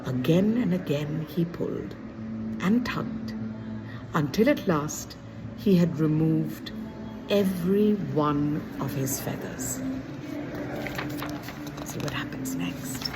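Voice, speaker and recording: A woman teacher reading a children's story aloud to her class in an expressive storybook manner. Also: heavy background noise. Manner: expressive, slow storybook reading with lively pitch.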